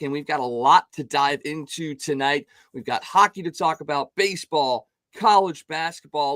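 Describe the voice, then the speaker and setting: A man hosts a casual sports talk show, his voice raspy and worn hoarse. He talks in an upbeat, chatty way, restarting phrases often, with a slightly raised pitch. The recording is close and dry. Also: a bit bright, no echo. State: hoarse from calling games.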